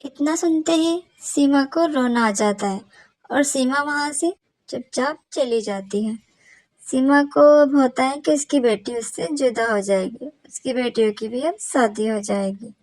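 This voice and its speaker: Woman telling a cartoon story, really high voice, scripted narration, no room echo. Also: unhurried, darkish tone.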